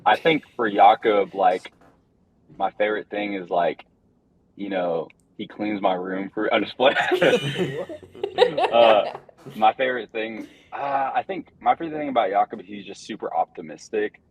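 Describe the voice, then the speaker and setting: A man's voice: lowish voice, unhurried, breathy edge. A young man, a band member, chatting casually in an interview, rambling and laid-back with lots of fillers. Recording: dull lo-fi recording.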